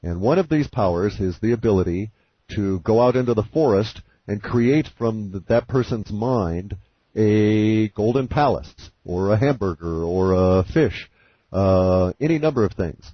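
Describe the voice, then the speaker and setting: A man giving a long reflective monologue as a guest on a conference call, speaking in a deliberate, halting way with formal, wordy phrasing. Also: lowish voice, expressive. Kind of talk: long reflective spoken monologue on spiritual ideas. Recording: muffled, roomy conference call audio.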